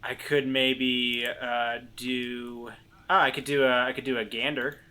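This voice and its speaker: Bright-voiced man, hesitant game player. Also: medium pitch, little pitch movement, a little slow. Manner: hesitant, thinking aloud. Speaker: player in a tabletop role-playing game. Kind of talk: casual game-table talk.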